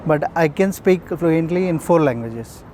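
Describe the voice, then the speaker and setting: A man's voice: a little high, lively intonation, unhurried, noisy background. Hesitant Indian man, street interview. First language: Urdu. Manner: hesitant, many fillers.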